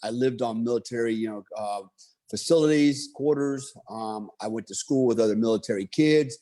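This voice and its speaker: A man's voice: medium pitch, moderate pace, warm tone. Rambling man in his fifties telling his life story. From United States. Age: 50s. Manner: rambling, many fillers and restarts. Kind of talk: casual autobiographical monologue.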